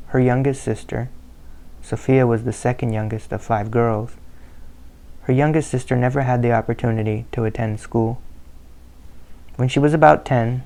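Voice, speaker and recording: Flat-voiced gravelly male narrator. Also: mid-range voice, low-quality recording. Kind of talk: scripted narration of a family history.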